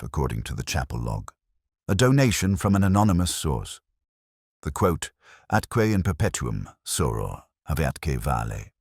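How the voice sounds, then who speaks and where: A man with an extremely deep, cavernous voice tells a noir detective story in the first person. His delivery is measured and brooding, rising and falling expressively through each sentence. The sound is close and completely dry, with no room in it. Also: breathy edge. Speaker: narrator.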